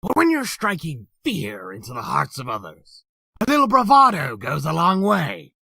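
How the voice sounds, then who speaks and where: A man's voice: a little high, huge pitch swings, normal speed, gravelly, pushed and bright, dry recording, heavily acted. A man voicing a gleeful, sadistic male demon clown who boasts with gory bravado in a fan comic dub. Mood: boastful.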